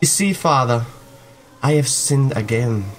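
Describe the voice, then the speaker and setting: A man voicing a troubled young woman in a visual novel, confessing in a grave, halting manner. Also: mid-range voice, expressive, faint music behind, pitched below the performer's own voice, natural voice. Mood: bleak, confessing.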